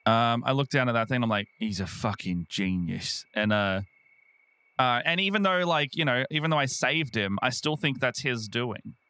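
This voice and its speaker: A man's voice: medium pitch, expressive, moderate pace, bright, forceful tone, clean tone, no echo. A man chatting casually as a player in a tabletop game, playful and informal. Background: faint guitar music.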